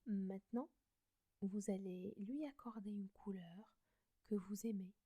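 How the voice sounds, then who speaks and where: A woman in a soft breathy whisper, gently guiding a meditation close to the mic. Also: mid-range voice, fairly even intonation, a little slow. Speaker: meditation guide.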